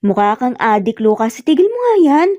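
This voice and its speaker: A woman's voice: on the high side, sing-song, brisk, dark tone, no echo. A Filipino-accented woman voicing a flustered, easily annoyed young bride in a romance story. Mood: annoyed, scolding. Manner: scolding, indignant. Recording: slightly muffled, music bed underneath.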